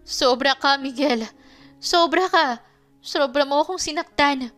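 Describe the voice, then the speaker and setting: Woman performing a betrayed young woman, high voice, heartbroken fury, wounded accusations, romance audio story. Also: unhurried, faint music behind. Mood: hurt, rejecting. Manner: shouting accusations in a tearful, wounded way. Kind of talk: melodramatic romance story acting.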